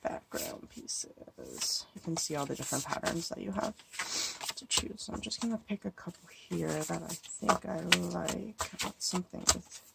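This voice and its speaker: A woman's voice: lowish voice, very animated, moderate pace, vocal fry, heavy background noise. Stuffy woman musing over her craft table. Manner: thinking aloud, musing and hesitant. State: stuffy from a cold. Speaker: card-making crafter. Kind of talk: casual crafting tutorial talk.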